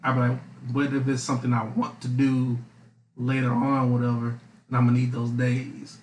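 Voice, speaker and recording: A man's voice: medium pitch, moderate pace, roomy sound, faint music behind, low-quality recording. A young man co-hosting a podcast, talking bluntly in casual slang. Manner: flat, blunt, slangy, profane. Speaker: podcast co-host. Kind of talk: casual chat.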